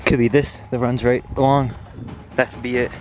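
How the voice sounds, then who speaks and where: A man's voice: medium pitch, flat delivery, normal speed, music in the background. Young man vlogging on a forest hike. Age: young adult. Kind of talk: casual travel vlog. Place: outdoors in a mountain forest. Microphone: handheld camera at arm's length. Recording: noisy outdoor handheld recording.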